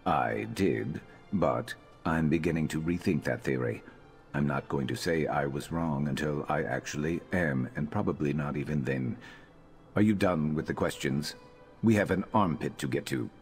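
A sardonic lizard-man tracker from a fantasy game, speaking in an extremely low voice. He is gruff, dry and disdainful in his deadpan delivery. Faint music plays behind him. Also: normal speed. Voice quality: very deep voice. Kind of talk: video game character dialogue.

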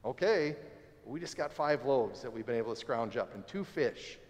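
A man in his forties preaching a sermon as pastor, conversational and animated, standing by the altar of a church sanctuary. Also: mid-range voice, moderate pace. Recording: long church reverb.

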